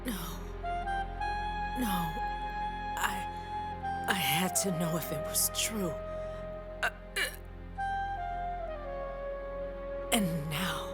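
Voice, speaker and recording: Very slow, anguished female soldier. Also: on the low side, huge pitch swings, heavily acted. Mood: fading, sorrowful. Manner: halting, emotional delivery. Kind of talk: dramatic video game cutscene acting. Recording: loud music over the voice.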